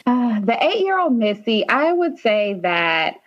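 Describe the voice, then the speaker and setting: A woman's voice: fairly high pitch, big pitch swings, measured pace, muffled recording. A young woman from Haiti, a nurse practitioner, chatting as a podcast guest with many "um"s and "like"s. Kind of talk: casual interview.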